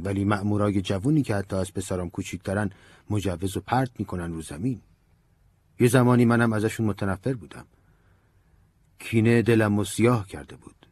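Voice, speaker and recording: A man voicing an old male priest who speaks in a gentle, preaching, earnest manner. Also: lowish voice, breathy edge. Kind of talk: audiobook character voice.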